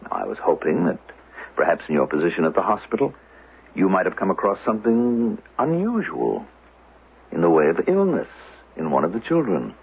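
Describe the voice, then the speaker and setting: Probing government official, formal and measured, low voice with lively swings, muffled old radio drama. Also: male voice, gravelly, husky, full character voice. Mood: politely insistent. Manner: measured, formal, pointed questioning. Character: probing, smooth-talking government official of a dystopian future. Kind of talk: 1970s radio drama acting.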